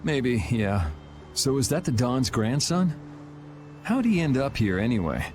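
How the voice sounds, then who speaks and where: A man's voice: medium pitch, sing-song, unhurried, darkish tone. A man playing a cool, sardonic young swordsman in a video game, with a dry, laid-back way of talking. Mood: dry, questioning. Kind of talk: video game dub acting. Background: background music.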